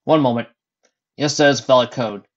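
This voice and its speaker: A man's voice: medium pitch, normal speed, lightly acted. A man voicing a stiff, formal headquarters phone operator, on a dull lo-fi recording.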